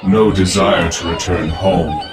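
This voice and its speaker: Low, slow, grandiose villain acted by a man. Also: steady tone, vocal fry, over a noisy crowd. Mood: grandiose, brooding. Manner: theatrical, pompous, deliberate. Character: grandiose older male former professor, godlike cosmic villain. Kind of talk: dramatic monologue in audio drama. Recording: roomy, distant echo under loud music.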